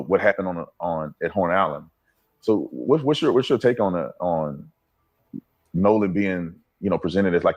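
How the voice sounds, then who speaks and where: A man's voice: deep voice, a little mellow, highs cut off. A man asking informal interview questions, a little hesitant in how he phrases them.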